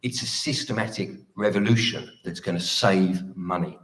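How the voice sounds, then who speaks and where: A man giving an earnest, pleading closing speech as a political candidate at a hustings, with some halting delivery. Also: low voice, a bit bright, low-quality recording.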